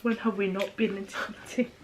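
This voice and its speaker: A woman's voice: medium pitch, steady tone, fairly quick, noisy background. A young woman chatting casually while eating, rambling with lots of "um" and "like" fillers. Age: young adult. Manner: rambling, many "um", "like", "kind of" fillers. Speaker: vlogger, older sister.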